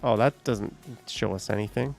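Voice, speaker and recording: A man's voice: on the low side, normal speed, creaky, faint music behind. A man hosting a live stream, chatting casually, thinking aloud and swearing now and then.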